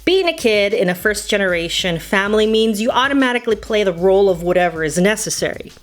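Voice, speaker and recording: A woman's voice: medium pitch, lively intonation, fast. Woman telling nostalgic stories over music. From United States. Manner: playful, nostalgic, slipping into Spanish phrases. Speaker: storyteller. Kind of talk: personal scripted storytelling narration.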